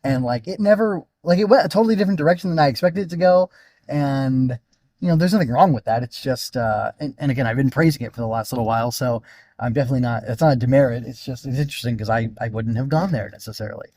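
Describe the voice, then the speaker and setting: A man's voice: medium pitch, quick pace, warm tone, no room sound. A man podcast host giving a casual, unscripted solo review, thinking aloud and often interrupting himself as he rambles.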